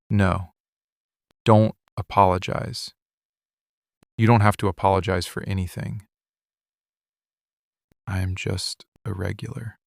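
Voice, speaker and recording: Man acting a slow, low-voiced, possessive mafia boss. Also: gravelly, fairly bright tone, no room sound, clean studio sound, exaggerated voice. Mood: bitter, wounded. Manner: deliberate, clipped, interrogating delivery. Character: possessive, wounded male mafia boss confronting a bartender. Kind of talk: boyfriend ASMR roleplay acting.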